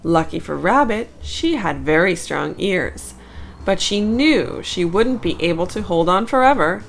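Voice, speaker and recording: A woman narrating a children's picture book in an expressive, deliberate read-aloud style with dramatic pauses. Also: fairly low pitch, gravelly, slight background noise.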